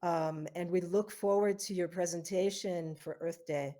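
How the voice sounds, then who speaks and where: A woman's voice: lowish voice, steady tone, unhurried, warm tone. A woman hosting an online interview, affirming her guest with frequent fillers and repeated words. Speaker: host of foundation interview.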